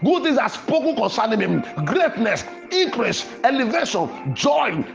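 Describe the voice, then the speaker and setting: A man preaching fervently in a Pentecostal prayer style, with forceful, repetitive declarations. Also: very high voice, very animated, unhurried, creaky, muffled recording, faint music behind. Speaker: preacher leading a prayer podcast.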